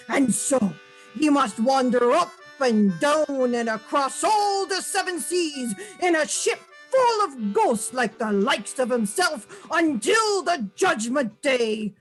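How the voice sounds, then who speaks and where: A woman's voice: high voice, lively intonation, faint music behind, poor audio. A woman acting in a radio play as a superstitious sailor, with an ominous, dramatic way of telling sea lore. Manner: slow, dramatic, ominous storytelling. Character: superstitious Irish sailor, doom-laden teller of sea lore.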